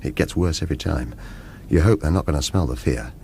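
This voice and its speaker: An actor playing a weary former hitman in a radio thriller, earnest and persuasive, both arguing and narrating his own story, with a slightly husky voice. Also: very deep voice, expressive, brisk, a little hiss or hum, heavily acted. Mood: tense, reflective. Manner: urgent, insistent, pleading in dialogue, hushed in narration. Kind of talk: radio thriller acting with first-person narration.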